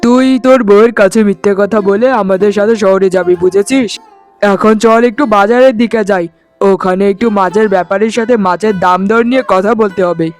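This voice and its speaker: Man acting an earnest cartoon villager, high male voice, emphatic, family drama, music behind. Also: brisk, lightly acted. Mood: conspiring.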